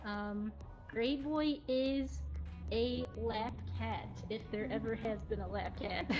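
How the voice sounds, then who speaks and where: A woman's voice: a little high, takes it slowly. A woman presenting in a casual, informal way on a livestream from a cat cafe. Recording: dull lo-fi audio, faint music. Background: faint background music.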